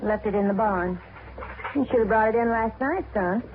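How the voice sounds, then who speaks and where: A woman's voice: on the high side, moderate pace, natural voice. An actress playing a middle-aged frontier mother and widow, plain-spoken with country grammar, in 1950s radio drama style. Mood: calm. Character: grieving frontier widow, mother, quietly vengeful. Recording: tinny, hissy old radio broadcast.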